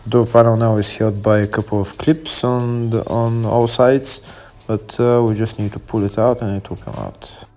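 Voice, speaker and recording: Low-pitched man giving halting how-to instructions. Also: flat delivery, darkish tone. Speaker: car repair tutorial presenter. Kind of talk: step-by-step how-to narration.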